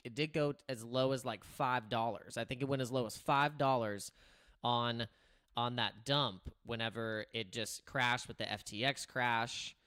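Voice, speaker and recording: A man with a slightly raspy voice chats casually as he hosts a livestream, thinking aloud and circling back on his sentences. He speaks at an ordinary, mid-range pitch into a desk streaming mic. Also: fairly bright tone. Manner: rambling, with many restarts and filler words. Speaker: crypto livestream host. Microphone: streaming microphone through streaming software.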